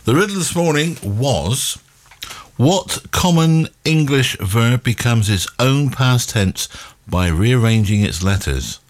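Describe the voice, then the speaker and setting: A man's voice: medium pitch, animated, slow pace. A man hosting a morning radio show, chatting with listeners and greeting them by name. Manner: warm banter with listeners, chuckling asides. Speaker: radio breakfast host.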